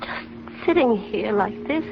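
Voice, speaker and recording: A gentle, frightened young woman pleads slowly in a slightly high voice. It is earnest vintage radio melodrama with a dull, muffled sound. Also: animated, creaky, noisy background, exaggerated voice. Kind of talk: 1940s radio acting. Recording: muffled 1940s radio recording.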